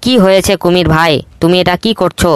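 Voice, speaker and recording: Cartoon monkey, male, young, helpful, high and fast; man doing the voice. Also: smooth, dry recording, lightly acted. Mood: friendly. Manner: quick, high and friendly. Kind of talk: cartoon voice acting.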